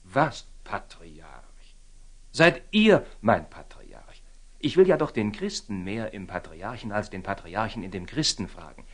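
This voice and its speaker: An actor playing a proud, impetuous young Templar knight in a classical radio drama, speaking bluntly and with passion. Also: male voice, fairly low pitch, sing-song, measured pace, slightly rough, exaggerated voice. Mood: restless, impatient. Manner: impassioned, blunt, questioning.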